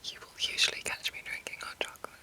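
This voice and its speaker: A woman hosting ASMR, chatting and reading aloud in a soft, breathy, unhurried whisper. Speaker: ASMR host.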